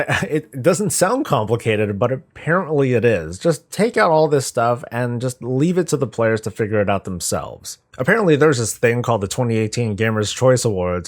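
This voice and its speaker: A man hosting casual gaming news commentary, talking conversationally and thinking out loud as he goes. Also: medium pitch. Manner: conversational, rambling, thinking aloud. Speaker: gaming news YouTube host.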